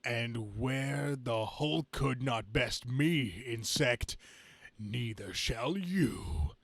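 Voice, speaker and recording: Man putting on a slow, gloating supervillain, gravelly. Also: medium pitch, animated, a bit bright, no room sound, exaggerated voice. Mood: gloating, menacing. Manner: grandiose, slow, theatrical declaiming. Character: gloating, imperious comic-book supervillain who commands minds.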